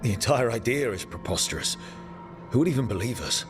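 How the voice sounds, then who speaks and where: An actor playing a steady, reassuring fantasy party leader in a video game, speaking in a measured, earnest way. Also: male voice, medium pitch, music in the background, natural voice. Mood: firm.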